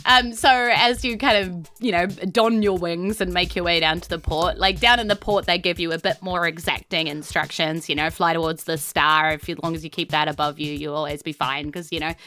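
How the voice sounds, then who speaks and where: A woman's voice: on the low side, steady tone, fairly quick, hardly any pauses, bright, forceful tone. A woman with a New Zealand accent, chatty and playful, improvising narration as game master of a tabletop game.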